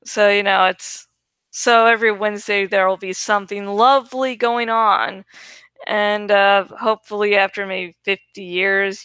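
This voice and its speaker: A woman's voice: medium pitch, sharp tone, clear, resonant tone, dry recording. A woman host chatting casually to her viewers, swearing freely and laughing between remarks, while not feeling fully well. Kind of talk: casual chat to camera.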